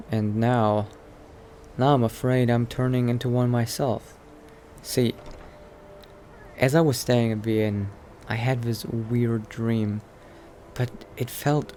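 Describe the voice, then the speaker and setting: Male gamer, in-character roleplay, unhurried hesitant murmur, light noise behind. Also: mid-range voice, mellow. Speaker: player roleplaying a vampire Dark Elf character. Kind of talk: in-character roleplay narration of a video game.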